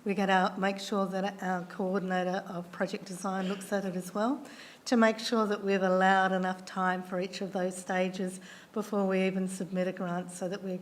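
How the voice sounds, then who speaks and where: A hoarse woman answers questions at a formal meeting, pausing on ums and restarting her sentences. Her delivery stays level and monotone, with little rise or fall. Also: medium pitch. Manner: measured, with frequent ums and restarts. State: losing her voice. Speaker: council officer answering councillors.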